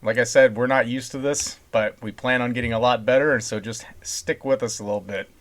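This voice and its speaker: A man with a mid-pitched voice co-hosts a podcast in loose, unscripted conversation. He talks informally and puts his views across with emphasis. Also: fairly even intonation, a little fast. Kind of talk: casual unscripted podcast chat.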